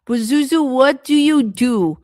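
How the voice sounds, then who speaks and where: A woman's voice: high voice, a little slow, darkish tone, dry recording, studio quality. A woman teaching English on a live stream, patiently greeting viewers by name and coaching their answers. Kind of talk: live-stream speaking lesson reading chat comments.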